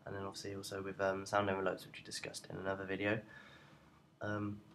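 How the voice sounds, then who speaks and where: A young adult man giving an informal, unscripted software tutorial in a hesitant, rambling way, as a presenter walking through each step. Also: low-pitched, completely flat delivery, quick pace. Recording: noticeable background noise.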